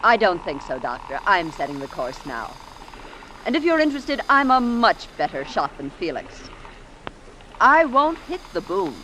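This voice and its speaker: An actress playing a cold, scheming young femme fatale with a sharp, scornful, theatrical delivery in a vintage radio drama. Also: female voice, mid-range voice, sing-song, normal speed, lightly acted. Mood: menacing. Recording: noisy old radio drama recording.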